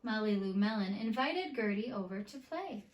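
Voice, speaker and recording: A woman reading a picture book aloud to children, expressively and with deliberate emphasis. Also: medium pitch, lively intonation, dull audio. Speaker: children's read-aloud teacher. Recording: roomy, echoey indoor sound.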